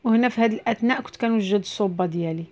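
Middle-aged woman, Moroccan accent, quick casual cooking narration, explains every step, mid-pitched voice. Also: darkish tone. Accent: Moroccan Arabic. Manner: chatty, explains each step as she cooks. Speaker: cooking vlogger.

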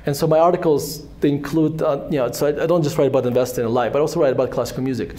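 A man's voice: mid-range voice, fairly even intonation, racing, warm tone. A man in his forties with a Russian accent, speaking as a guest at a fireside chat in a synagogue hall, telling rambling self-deprecating stories full of restarts. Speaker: author and guest. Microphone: handheld microphone.

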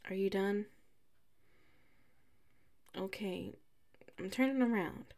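A woman playing a flustered, defensive female classmate with a secret crush in an ASMR audio roleplay, huffy and halting. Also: medium pitch, creaky, darkish tone. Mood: huffy, scolding. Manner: halting, huffy, defensive, slips into muttered whispers.

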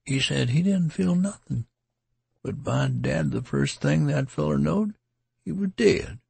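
Male reader doing a tattered, wounded rustic soldier: raised, rasping voice, rambling folksy speech, audiobook. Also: fairly high pitch, wildly swooping pitch, measured pace, a little mellow, dry recording, pitched above the performer's own voice, full character voice. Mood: rambling, worried. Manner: rambling folksy dialect, slurring as he weakens.

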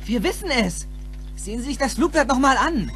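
A man's voice: extremely high pitch, lively intonation, fairly quick, a bit bright, soft background music, exaggerated voice. A young male actor playing an earnest, urgent teenage boy who pleads insistently in a German radio play. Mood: desperate.

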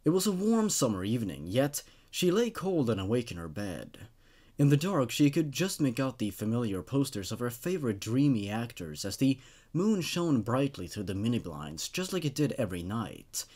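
A man's voice: medium pitch, sing-song, normal speed, a little mellow. A man in his twenties hosting, reading stories aloud and commenting on them in a casual, conversational way. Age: 20s. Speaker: host reading and reviewing horror stories.

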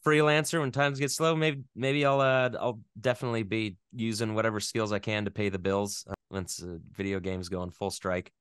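A young man from the American West Coast with a low, smooth, resonant voice. He talks in a rambling, animated way and often laughs at himself. The recording is dry and close. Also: fairly quick, hardly any pauses, no echo. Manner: rambling, animated, self-deprecating. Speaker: voice actor guest. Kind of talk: casual interview chat.

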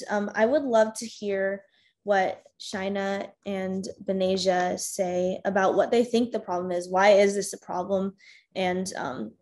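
A young American woman hosting a casual video-call discussion, talking with many fillers and soft laughs. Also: medium pitch. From United States. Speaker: discussion host. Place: home room with bookshelves. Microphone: webcam on a video call.

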